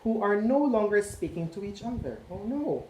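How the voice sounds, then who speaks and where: A man's voice: high voice, sing-song, normal speed, dark tone, voice pushed up. A man voicing a content, polite green crayon reading its letter. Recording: echoey room, faint noise.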